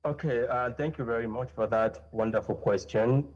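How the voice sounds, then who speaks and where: A Nigerian man, a native Yoruba speaker, speaking as a guest on a panel in a slow, deliberate way that stretches words for emphasis. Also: mid-range voice, steady tone, a little mellow, highs cut off. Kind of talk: studio panel discussion.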